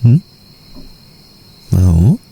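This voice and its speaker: Male actor as seductive Indonesian mafia husband, ASMR roleplay. Low, gravelly, doting and teasing, breathy up close, faint cricket ambience behind. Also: sing-song, drawn-out words, dark tone, slight background noise, exaggerated voice. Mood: tender, earnest. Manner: intimate, teasing drawl, often dropping into breathy whispers.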